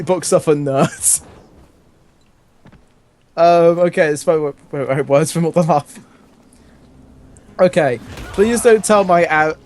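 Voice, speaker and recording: A man's voice: on the high side, darkish tone. A man reading fan fiction aloud in a casual, comic way, amused and often breaking into laughter.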